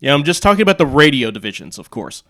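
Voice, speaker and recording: A man's voice: on the high side, sing-song, quick pace, pushed and bright. An American man explaining things casually and off the cuff, with rambling, conversational asides. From United States. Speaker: video host, radio hobbyist.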